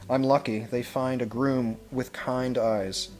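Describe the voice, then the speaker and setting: A man reads a picture book aloud as a school head would to children, with measured, expressive phrasing and pauses. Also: mid-range voice, faint music behind. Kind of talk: storybook read-aloud with brief asides.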